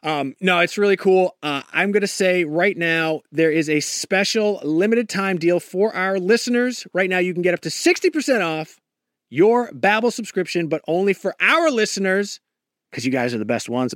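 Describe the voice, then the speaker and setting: A man's voice: high-pitched, fairly bright tone, no room sound, clean studio sound. A man casually reading a podcast ad, joking and rambling with fillers as he goes. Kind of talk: casual ad read.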